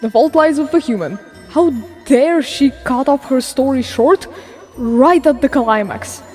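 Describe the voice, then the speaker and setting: A woman's voice: high-pitched, animated, unhurried, a little mellow, long reverb tail, soft background music. A woman playing a proud blood-fiend father who is weary yet eager for stories, in formal, archaic fandub acting. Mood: indignant. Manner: archaic, formal, theatrical diction. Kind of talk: dramatic game fandub acting.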